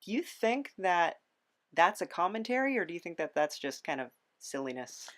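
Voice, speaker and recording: A woman's voice: on the low side, very animated, moderate pace, a bit bright. A woman hosting a conversational podcast interview, thoughtful and a little hesitant as she forms her questions.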